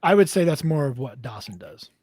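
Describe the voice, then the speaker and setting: Husky-voiced laid-back male co-host. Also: mid-range voice, big pitch swings, brisk, a little mellow, dry recording, clean studio sound. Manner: laid-back, agreeable, hedging. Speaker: podcast co-host. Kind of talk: casual podcast chat.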